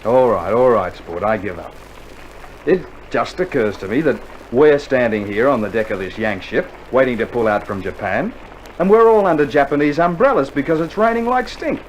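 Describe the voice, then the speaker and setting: A man's voice: medium pitch, expressive, moderate pace, highs cut off, natural voice. Man acting a wry Australian soldier, swooping delivery. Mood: exasperated, sarcastic. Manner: sarcastic, exasperated banter. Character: wry, teasing Australian army soldier, fond of musical comedy. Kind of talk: 1960s radio drama acting. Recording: vintage vinyl radio play, noisy background.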